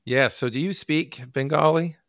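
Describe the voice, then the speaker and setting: A man from the United States hosting a casual podcast interview, halting with many fillers and restarts. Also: on the high side, a bit bright, no room sound, studio quality. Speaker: podcast host.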